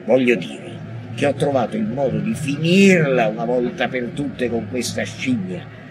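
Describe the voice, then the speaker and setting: A man's voice: mid-range voice, expressive, unhurried, lightly acted. On a noisy radio recording, a man acts out a visionary madman poet with a mid-range voice. He is intense and defiant, declaiming his monologue like a ranting prophet. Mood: feverish, insistent. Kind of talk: theatrical dramatic monologue.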